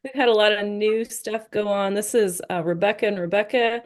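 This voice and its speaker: A woman's voice: medium pitch, fairly even intonation, brisk. A woman with a Midwestern accent from rural Indiana, co-hosting a book podcast in an upbeat, chatty way. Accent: Midwestern American. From rural Indiana, USA. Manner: upbeat and chatty, with frequent laughs. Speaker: podcast co-host. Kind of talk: casual podcast chat.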